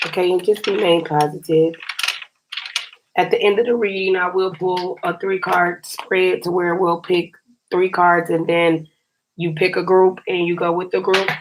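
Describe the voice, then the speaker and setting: Woman tarot reader, raspy today, low and flat delivery, chatty with viewers, noisy hissing recording. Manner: chatty and encouraging, often says "you guys". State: slightly scratchy, raspy voice. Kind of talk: casual tarot reading for viewers.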